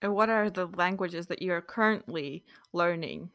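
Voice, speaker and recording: Young female podcast host, easygoing, smooth tone, dry close sound. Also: fairly low pitch, moderate pace, a bit bright, dry recording. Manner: friendly, loosely improvised with fillers. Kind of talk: casual podcast chat.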